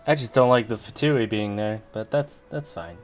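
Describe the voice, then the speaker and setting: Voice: mid-range voice, normal speed. A game streamer giving casual, informal let's play commentary and reading along with the dialogue.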